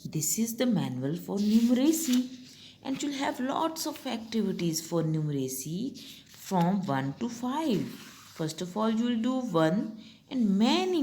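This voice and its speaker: A woman's voice: mid-range voice, mellow, slight background noise. A young woman teacher with a Pakistani English accent, patiently walking young pupils step by step through their summer tasks. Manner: slow, patient, step-by-step instruction.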